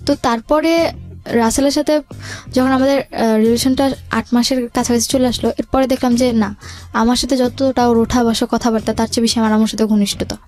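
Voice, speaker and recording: A woman with a Bangladeshi accent recounts her life story as a radio guest. Her voice is electronically altered and sits fairly high, and she talks very quickly, slipping in English words, over faint music. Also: fairly even intonation, darkish tone. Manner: quotes remembered conversations, mixes in English words. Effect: voice altered to hide identity. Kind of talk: personal storytelling in radio interview.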